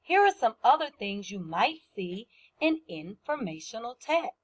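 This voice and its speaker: A woman's voice: on the high side, very animated, measured pace. A woman teacher giving a lesson to young children, explaining simply, repeating points and asking questions. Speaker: first-grade teacher.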